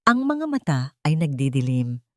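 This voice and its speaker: A woman's voice: deep voice, very animated, moderate pace, dry recording, studio quality. A woman narrating a scripted love story in a dramatic storytelling style.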